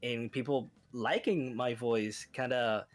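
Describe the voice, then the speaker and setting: A man's voice: medium pitch, fairly even intonation, slow pace, soft background music. A man whose first language is Spanish speaks with a light Spanish accent. He chats casually as a guest, hesitant and giggly, and often restarts his sentences. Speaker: guest streamer. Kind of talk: casual chat.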